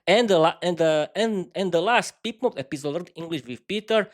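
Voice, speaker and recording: Man, slovak accent, non-native english, unscripted solo podcast, halting, many restarts, fairly high voice. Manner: halting, frequent restarts and fillers. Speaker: podcast host.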